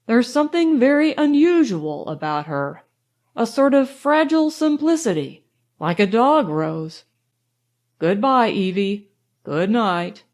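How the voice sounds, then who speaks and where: Woman reading as genteel, opinionated older gentleman. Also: on the high side, sing-song, measured pace. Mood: admiring, insistent. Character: polite, opinionated older gray-haired gentleman, admiring and oblivious. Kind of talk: audiobook character dialogue.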